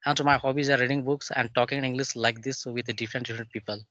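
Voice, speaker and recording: A man with an East Indian accent practising English conversation in a formal, deferential and somewhat halting manner. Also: mid-range voice, steady tone, fairly bright tone, dry recording, lo-fi sound. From Jharkhand, India.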